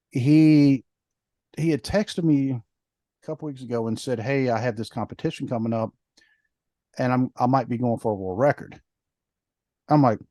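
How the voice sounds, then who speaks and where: A man's voice: mid-range voice, a little mellow, no room sound. A man co-hosting a podcast, chatting in a rambling way with lots of fillers. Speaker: podcast co-host. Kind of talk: casual podcast chat.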